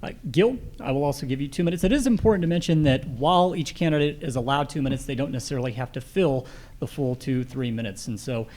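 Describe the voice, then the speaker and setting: A man's voice: medium pitch, fast talker, darkish tone. A man acting as moderator, formally setting out the rules of a candidate forum.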